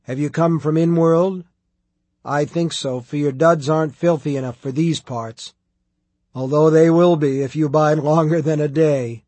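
A man voicing a huge, imperious but good-humored prioress in an audiobook, with a rolling, booming voice and archaic formal speech. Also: steady tone, unhurried, a little mellow, dry recording. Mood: hearty greeting.

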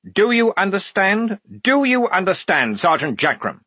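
A man playing a pompous, high-pitched army lieutenant. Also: big pitch swings, unhurried, fairly bright tone, husky, no room sound, heavily acted. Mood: insistent, exasperated. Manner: pedantic, clipped, officious. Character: pompous, prim, proudly clever young male army lieutenant.

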